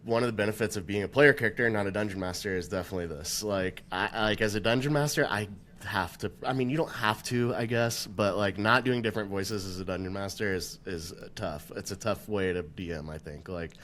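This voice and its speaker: A man with a fairly low voice talks loosely about tabletop roleplay, trailing into "um" and "like" as he works through his thoughts. He sounds relaxed and conversational, like a dungeon master chatting with his group. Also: a little fast. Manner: relaxed, thinks aloud with many fillers. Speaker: podcast co-host and dungeon master. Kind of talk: casual tabletop gaming discussion.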